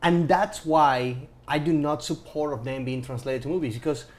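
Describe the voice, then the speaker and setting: Slightly high-voiced man, halting casual chat. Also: steady tone. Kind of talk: casual chat, podcast banter.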